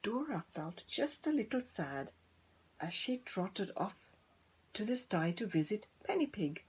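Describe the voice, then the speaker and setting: A woman narrating a children's story in a deliberate, gently dramatic manner. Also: mid-range voice, big pitch swings, a little hiss or hum, poor audio. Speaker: storybook reader.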